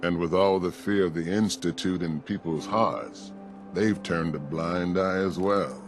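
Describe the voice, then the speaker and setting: Deep-voiced folksy American pastor. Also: male voice, a little slow. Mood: sympathetic, grave. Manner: folksy, sympathetic. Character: kindly, folksy male small-town pastor. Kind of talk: video game dialogue acting. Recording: voice over game music.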